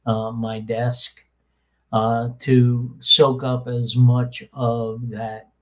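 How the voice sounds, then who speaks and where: Slow, flat-voiced man with halting speech. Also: rough recording. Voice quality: voice between baritone and tenor. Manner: halting, many fillers and restarts. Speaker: podcast host. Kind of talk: casual explanatory talk. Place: small room with soundproofing under way.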